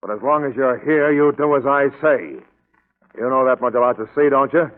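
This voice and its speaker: An actor playing a gruff, bullying first mate with curt tough-guy speech, in 1940s radio style. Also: mid-range voice, moderate pace, lightly acted. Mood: curt, menacing. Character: surly, bullying first mate of a mystery ship. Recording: tinny, band-limited 1940s radio recording.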